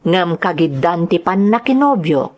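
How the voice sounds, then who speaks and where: A woman's voice: lowish voice, lively intonation, measured pace, a little mellow. A young woman playing an earnest daughter who tells her life story in an Ilocano radio-style drama, in a conversational manner. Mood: wistful, earnest.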